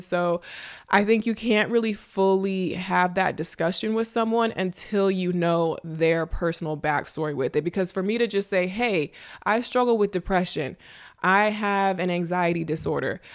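A young American woman with a congested, nasal voice, chatting casually and directly to listeners as a solo podcast host, close on a studio mic. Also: lowish voice. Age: late 20s to early 30s. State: congested with a runny nose. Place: small indoor room with shelving. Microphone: close studio podcast microphone with headphones.

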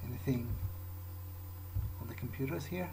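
A man's voice: medium pitch, normal speed, noisy background. A man hosting a gaming video, improvising in character and thinking aloud in hesitant, musing fragments. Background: game music.

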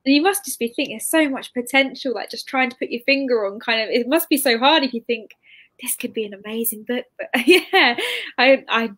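Casting: woman in her twenties, slightly high pitch, casual host with loose, rambling delivery. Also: brisk, fairly bright tone. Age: 20s. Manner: informal, rambling questions. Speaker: host, interviewer. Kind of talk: casual chatty interview. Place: plain room indoors. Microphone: video call.

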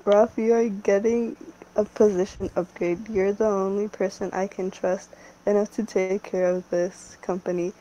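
A woman voicing a female company owner who speaks haltingly, with many fillers and restarts. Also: mid-range voice, flat delivery, warm tone, dull audio, lightly acted. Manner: hesitant, halting speech with fillers and nervous laughs.

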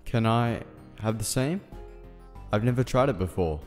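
A man's voice: fairly low pitch, animated, normal speed, a little mellow, natural voice. An actor playing an earnest, pedantic male scientist with a stiff, formal, hesitant manner in a radio play. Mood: matter-of-fact. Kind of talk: radio play character dialogue. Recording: long reverb, music behind. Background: faint background music.

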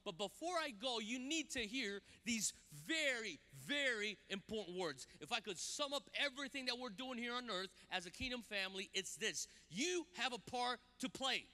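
A man, a guest pastor preaching on a church stage into a handheld mic, animated and often repeating words as he starts a sentence. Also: very high-pitched, moderate pace, hardly any pauses. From Brazil. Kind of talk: sermon with personal stories. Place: large church stage with audience. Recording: long hall reverb.

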